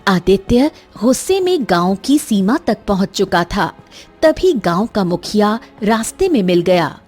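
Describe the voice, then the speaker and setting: Husky, animated woman storyteller. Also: medium pitch, measured pace, faint music behind. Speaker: story narrator. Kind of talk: dramatic scripted narration of a cartoon fairy tale.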